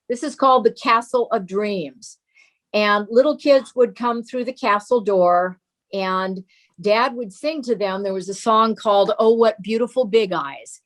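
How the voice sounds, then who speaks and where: An older woman speaks as an interview guest, recalling the past. Her voice sits at an ordinary middle pitch, and she explains things through personal anecdotes. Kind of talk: reminiscing in an interview.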